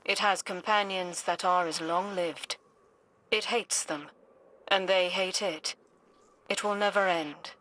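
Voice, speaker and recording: A woman's voice: lowish voice, steady tone, slow, a bit bright, poor audio. An actress voicing an enigmatic, solemn female oracle in a video game, cryptic and portentous. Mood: grave, haunting. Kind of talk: video game cutscene voice acting.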